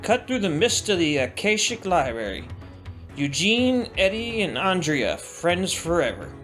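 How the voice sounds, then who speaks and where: Man playing an excitable, high-pitched young male cadet. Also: a little slow, soft background music, full character voice. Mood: intrigued. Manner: slangy, animated. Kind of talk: audio drama acting.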